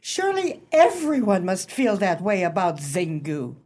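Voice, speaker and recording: An actress playing a prim, anxious club hostess in a radio play, formal, fussy and genteel. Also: female voice, medium pitch, wildly swooping pitch, unhurried, darkish tone. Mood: prim, insistent.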